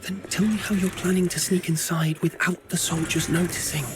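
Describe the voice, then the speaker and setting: A man's voice: sits high, little pitch movement, moderate pace, music in the background, lightly acted. A man playing an earnest, cautious young hero in a video game, with a restrained, polite delivery.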